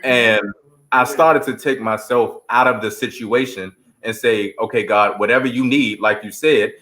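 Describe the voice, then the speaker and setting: A man's voice: mid-range voice, steady tone, moderate pace, pushed and bright, low-quality recording. Earnest, preacherly man. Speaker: stage actor, interview guest. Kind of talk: heartfelt interview answer with scripture quotes.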